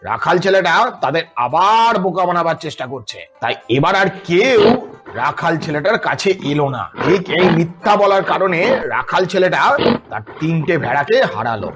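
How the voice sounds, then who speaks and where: Male narrator for children's tales: expressive, lively range, fairly high pitch. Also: normal speed, soft background music. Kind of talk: scripted children's story narration.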